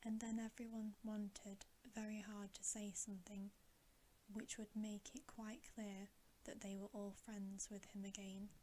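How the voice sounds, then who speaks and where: A woman whispering a bedtime story in a hushed, breathy, gentle and unhurried ASMR style. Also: medium pitch, flat delivery, slight background noise. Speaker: ASMR reader.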